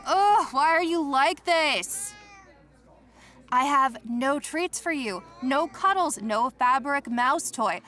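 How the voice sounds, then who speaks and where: A woman's voice: sits high, expressive, slow, pushed and bright, exaggerated voice. An actress playing an exasperated young woman in a comedic audio drama, dramatic and whiny. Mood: exasperated, pleading.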